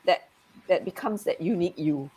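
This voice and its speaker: A woman's voice: on the low side, moderate pace, dark tone, slightly rough, rough recording. A woman who grew up in Malaysia, a coach talking in a casual, reflective way and often saying "you know". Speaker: NLP coach. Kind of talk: casual conversation.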